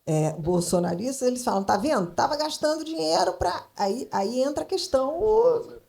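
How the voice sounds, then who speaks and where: A Brazilian woman speaking off the cuff on a panel, halting and emphatic, repeating phrases as she thinks aloud. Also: high voice, very animated, gravelly, darkish tone. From Brazil, grew up in Brasília. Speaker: panel speaker, creative consultant in advertising. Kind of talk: spontaneous panel discussion.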